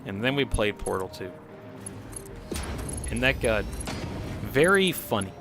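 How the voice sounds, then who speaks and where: A man's voice: lowish voice, sing-song, a little slow. A man streaming a game, chatting casually and rambling as he plays, a bit nasal from a cold. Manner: rambling, reacts to chat, chuckles often. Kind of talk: casual commentary while playing. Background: game music playing.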